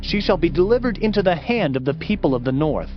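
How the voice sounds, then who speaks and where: Man, audiobook narrator, solemn scripture reading, highly expressive intonation, prominent music behind. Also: fast talker. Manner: solemn, declamatory. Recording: dull lo-fi audio under music.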